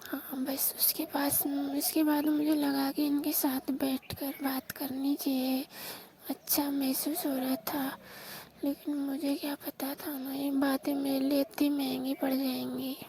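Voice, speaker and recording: Female actor as young newlywed bride, plaintive and complaining, dark even tone, slightly noisy phone recording. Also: high voice, measured pace. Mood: anxious, confiding. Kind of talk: staged phone-call prank drama in Hindi.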